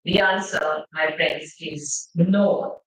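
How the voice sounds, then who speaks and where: A middle-aged woman from Bengal with an Indian English accent, giving a measured, rhetorical seminar lecture with slides. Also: low-pitched, fairly even intonation, a little hiss or hum. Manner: measured, rhetorical, asks the audience questions. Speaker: seminar speaker. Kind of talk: academic lecture presentation with slides. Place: seminar hall. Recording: echoey hall, mic at a distance.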